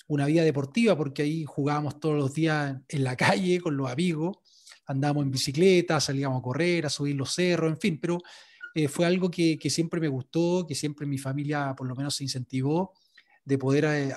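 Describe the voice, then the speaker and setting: A sports journalist being interviewed, telling his story in a relaxed way, with many fillers and restarts. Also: a little high. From Chile. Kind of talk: interview answers.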